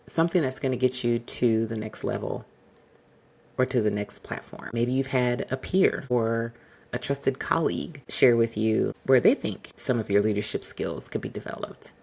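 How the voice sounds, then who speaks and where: A man hosting an advice podcast, speaking to the listener in a polished, exacting and fairly monotone way. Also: medium pitch, vocal fry, slightly rough. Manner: measured, exacting, addresses listener directly. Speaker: podcast host.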